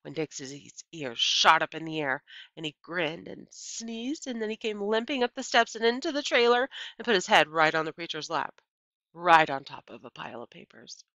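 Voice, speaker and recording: A middle-aged woman reading a children's novel aloud like a teacher, with expressive, deliberate storytelling and emphasized key words. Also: lowish voice, no room sound. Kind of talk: children's novel read-aloud, scripted narration. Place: small indoor room with bookshelves. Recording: dull, lo-fi computer microphone sound.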